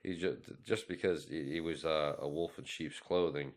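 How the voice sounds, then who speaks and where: A man's voice: a bass voice, fairly even intonation, normal speed. A man co-hosting a podcast in a casual, opinionated conversation, speaking bluntly. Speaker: podcast co-host.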